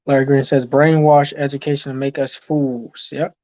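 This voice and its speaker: A man's voice: medium pitch, flat delivery, moderate pace, darkish tone, dry recording, muffled recording. A man hosting a casual teaching talk, reading viewer comments aloud and answering them, with many ums and okays. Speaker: host reading live chat.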